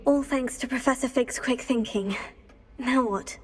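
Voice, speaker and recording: Young witch student, game protagonist: earnest, inquisitive, slightly high voice. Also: measured pace, husky. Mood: wary, curious. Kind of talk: video game voice acting.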